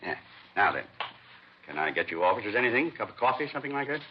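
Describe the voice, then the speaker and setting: An actor playing a fussy, self-satisfied nightclub owner, chatty and offhand, in a 1950s radio crime drama. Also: male voice, lowish voice, very animated, fast. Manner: chatty, offhand, breaking off into kitchen asides. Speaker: club owner being questioned. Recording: muffled, noisy vintage radio recording.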